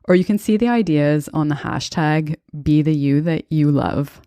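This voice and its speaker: A low-pitched woman in her thirties speaks in a calm, thoughtful, conversational way, telling a personal story on her podcast. The sound is close and dry, with no room around it. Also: moderate pace, clean tone, dry recording. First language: bilingual English and Estonian. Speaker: podcast host and coach.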